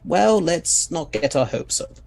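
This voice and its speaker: A man's voice: a little high, big pitch swings, a little slow, vocal fry, a little mellow, exaggerated voice. Courteous eccentric scientist played by a man, noisy backdrop. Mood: matter-of-fact. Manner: courteous, old-fashioned, erudite phrasing. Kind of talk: audio drama acting.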